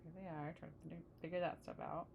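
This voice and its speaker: Female storybook reader, slightly low register, chatty with young listeners, faint noise on the recording. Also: flat delivery, fairly quick, creaky, dull audio. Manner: engaging, asks the listener questions. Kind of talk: read-aloud of a children's picture book with chatty asides to the listener.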